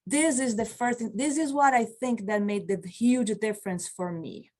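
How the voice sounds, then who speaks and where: A woman's voice: a little high, a little mellow. A woman in her forties, not a native English speaker, teaching an online class as a medicine practitioner, earnest and encouraging, speaking over a webcam from a small office. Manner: slow, earnest, encouraging, reads out chat replies. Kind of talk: online continuing-education lecture. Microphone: video call webcam.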